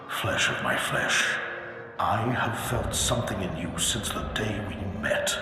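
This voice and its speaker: An actor voicing a stern, ancient Sith ancestor ghost in a video game, grave and imperious, with archaic phrasing. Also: male voice, fairly low pitch. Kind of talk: dramatic video game acting. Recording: heavy echoing reverb over music.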